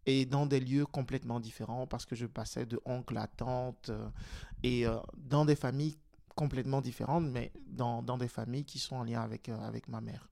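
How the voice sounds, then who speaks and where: Guy, interview guest, lots of euhs and restarts, mid pitch. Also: steady tone, moderate pace, vocal fry, warm tone. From Togo. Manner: hesitant, many fillers and restarts. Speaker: guest, politician and teacher. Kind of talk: casual interview talk.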